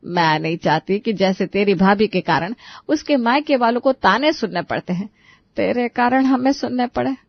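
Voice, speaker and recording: A woman's voice: fairly high pitch, highs cut off, lightly acted. A woman voicing a middle-aged mother, soothing yet admonishing, in an audio story. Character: middle-aged submissive, cautioning mother advising her daughter.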